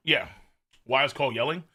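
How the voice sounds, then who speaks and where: A man hosting a livestream, chatting casually in a loud, animated, rambling way with lots of swearing and a slightly strained throat. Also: medium pitch, breathy edge. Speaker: live streamer, host. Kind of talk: casual livestream chat, reading viewer messages.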